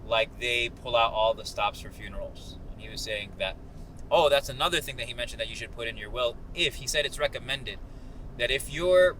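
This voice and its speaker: A man's voice: mid-range voice, brisk, bright, forceful tone, poor audio. A man giving casual, slangy explanations of religious matters as a vlog host, talking inside a car. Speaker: host of a ride-along video. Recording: clear car and outdoor noise. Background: car and road noise.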